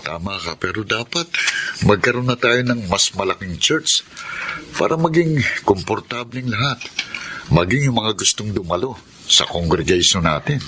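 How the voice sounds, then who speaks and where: A Filipino actor playing a devout, patient and generous younger brother in a radio drama. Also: male voice, fairly low pitch, expressive, moderate pace, crowd noise, lightly acted. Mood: thoughtful, eager.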